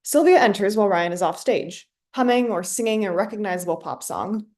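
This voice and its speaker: A woman's voice: medium pitch, lively intonation, fairly quick, a little mellow. A woman reading stage directions as scripted narration for a play.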